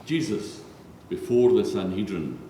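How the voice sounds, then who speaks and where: Guy narrating scripture real slow and solemn, lowish voice, tons of church echo, bit of hiss. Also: expressive, dark tone. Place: large reverberant church. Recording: very echoey church, faint noise.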